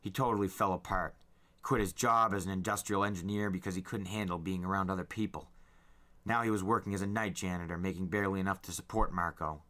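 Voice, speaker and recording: A man's voice: low voice, steady tone, fast, bright, forceful tone. A man reading a first-person novel aloud as a dramatic storyteller. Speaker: storyteller, podcast host. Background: faint music.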